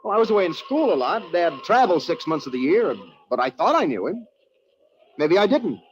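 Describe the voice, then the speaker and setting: A man's voice: high-pitched, big pitch swings, a little fast. An actor playing an earnest, stubborn young mountaineer in an old-time radio drama, dramatically telling of his climb. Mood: troubled, insistent. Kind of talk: old-time radio drama acting and first-person narration. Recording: dull, noisy old radio recording.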